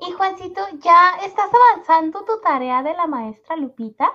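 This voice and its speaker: A woman playing a polite little schoolgirl in an amateur radio drama, speaking in a deliberate sing-song over an online call. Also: very high-pitched, sharp tone, muffled recording. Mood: friendly, curious.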